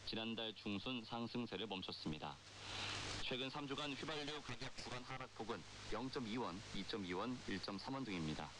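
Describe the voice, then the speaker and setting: A man reads scripted radio news in a formal, measured way, as an announcer. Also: lowish voice, expressive. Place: radio broadcast received over shortwave. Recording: noisy, hissy shortwave radio broadcast. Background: clear static hiss.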